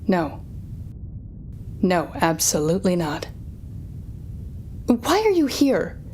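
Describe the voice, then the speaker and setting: Villainess for audio roleplay, played by a woman: slightly low register, theatrical and needling, intimate address to the listener, faint noise behind. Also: dark tone, close to an everyday voice. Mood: defensive, indignant. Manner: taunting, theatrical, drawn-out teasing phrasing. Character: sardonic female telepathic supervillain, defeated but smug.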